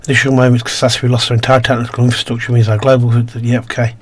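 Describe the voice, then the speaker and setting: Croaky-throated man narrating a game. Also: mid-range voice, level tone, rapid-fire. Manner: mumbles to himself while playing, reads game text aloud. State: croaky throat, slight cough. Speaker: gaming video host. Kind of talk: casual let's-play commentary.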